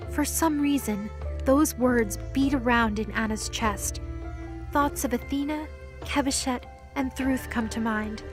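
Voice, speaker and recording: A woman narrating a scripted audio drama as its storyteller. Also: high voice.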